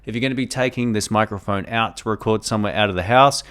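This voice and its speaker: A man's voice: fairly low pitch, quick pace, smooth. Chatty man reviewing gear at home. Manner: enthusiastic, chatty, explains step by step; speaks at varying loudness for gain tests. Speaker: tech reviewer. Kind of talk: casual product review to camera. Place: home room with a fridge nearby. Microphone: condenser microphone on the table.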